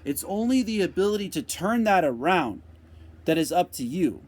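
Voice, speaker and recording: Man, motivational speaker, slightly high voice, slow deliberate repetitive phrasing. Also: very animated, a little mellow. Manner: answers a viewer question. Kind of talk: unscripted motivational talk.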